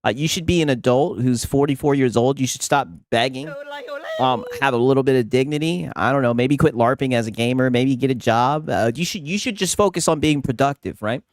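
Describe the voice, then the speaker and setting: A man's voice: medium pitch, brisk, dry recording, studio quality. A man co-hosting a stream, teasing his partner, laughing often and tagging nearly every phrase with "right?". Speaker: co-host reading chat. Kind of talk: mocking stream banter.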